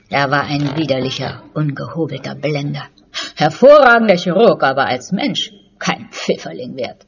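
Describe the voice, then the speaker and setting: Husky, bitter, wounded woman. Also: low-pitched, exaggerated voice. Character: bitter, wounded mother recalling a hated lover. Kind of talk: radio play acting. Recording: muffled studio recording.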